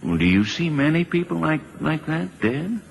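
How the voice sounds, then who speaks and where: A man's voice: fairly high pitch, very animated, moderate pace, creaky. An actor playing a shrewd, persistent, folksy government lawman in an old-time radio drama, informal and a little hesitant. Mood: friendly, probing. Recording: vintage radio broadcast recording.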